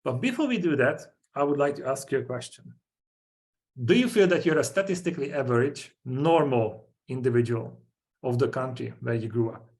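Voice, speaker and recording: A man's voice: medium pitch, animated, moderate pace, darkish tone. A man in his forties from Hungary with a Hungarian accent, giving a webinar presentation in short, punchy sentences with rhetorical questions.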